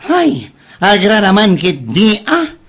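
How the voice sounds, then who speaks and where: Woman as dramatic gossipy ilocano bestie, young, husky, slow drawl, comedy drama. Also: mid-range voice, wildly swooping pitch, heavily acted. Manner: exclamatory, drawling, theatrical. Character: sympathetic, theatrical young female best friend, gossipy.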